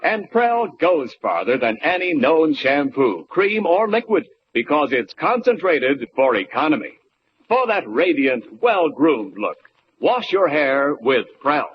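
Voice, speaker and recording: A man delivering a scripted sales pitch as an announcer in a vintage radio advertisement. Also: sing-song, measured pace. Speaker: commercial announcer. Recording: dull, faintly hissy old radio.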